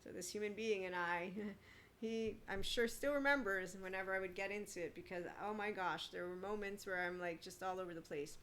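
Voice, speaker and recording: A woman's voice: medium pitch, steady tone, normal speed, fairly bright tone, slight background noise. A woman in her forties giving an opinionated solo monologue as a podcast host, blunt, emphatic and sarcastic. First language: bilingual with Italian. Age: 40s.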